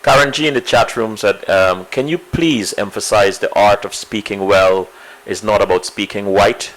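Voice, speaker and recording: A man's voice: lowish voice, normal speed, fairly bright tone, low-quality recording. A male interviewer who asks questions and listens attentively, with frequent "mm-hmm"s.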